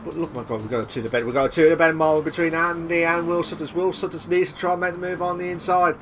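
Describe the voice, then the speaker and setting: A young man doing live sim racing commentary, excitable and repetitive, with a voice he says he is losing. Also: fairly high pitch, quick pace, soft background music. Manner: excitable, repeats himself, shouts at big moves. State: losing his voice.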